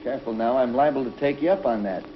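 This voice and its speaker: An actor playing a confident young spaceship pilot hero in a 1950s TV drama, brash and commanding. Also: male voice, mid-range voice, fast, lightly acted. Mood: teasing. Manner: cocky, commanding. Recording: dull lo-fi 1950s TV soundtrack with music.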